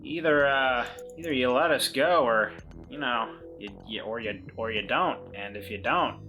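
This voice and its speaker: A wry, stubborn man played by a male player in a roleplaying game. His voice is medium in pitch with a sharp, bright edge, halting and conversational, over faint music. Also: dull audio, lightly acted. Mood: defiant.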